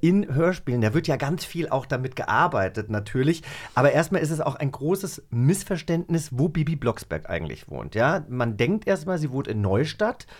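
A man's voice: medium pitch, sing-song, moderate pace, few pauses. A man in his forties chatting casually and enthusiastically as a podcast co-host. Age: 40s.

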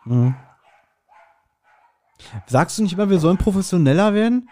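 A man's voice: high-pitched, animated, moderate pace, warm tone. A man co-hosting a podcast in casual chat, with dry, self-deprecating banter. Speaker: podcast co-host.

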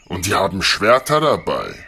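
A man's voice: low voice, huge pitch swings, normal speed, creaky, pushed and bright, slight background noise, full character voice. A man voicing a politely aggrieved dragon in a German fairy-tale audio drama. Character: grumbling but courteous male dragon seeking peace.

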